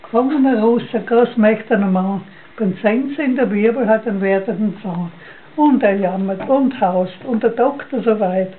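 An older woman with a Styrian Austrian accent recites a dialect poem from memory, halting and repeating phrases, on a lo-fi home recording. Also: medium pitch. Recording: dull lo-fi home recording, noisy.

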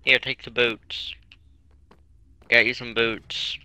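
A man's voice: mid-range voice, level tone, slow pace, pushed and bright, clear, resonant tone, no room sound, poor audio. A man chatting casually in character as he plays a roleplay video game. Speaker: gamer in a roleplay series. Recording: faint game music behind the voice. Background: game music.

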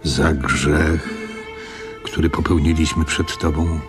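Very deep, slow old innkeeper. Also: male voice, fairly even intonation, loud music behind the voice. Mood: penitent. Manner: solemn, measured. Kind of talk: Polish radio drama narration and monologue.

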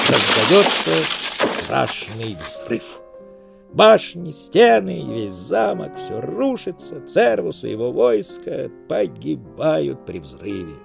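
Male narrator, declamatory storytelling style, fairly high-set voice with fry, over prominent music. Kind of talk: dramatic scripted narration of a silent film.

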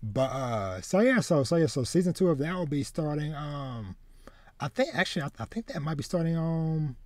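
A man in his thirties chats casually as a podcast host. He talks in halting runs, doubling back on words, and the sound is dry and close, with little room in it. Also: fairly high pitch, lively intonation, a little mellow, no echo. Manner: halting, repeats words as he goes. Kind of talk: casual chat about anime. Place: small room with bookshelves, indoors, on a video call.

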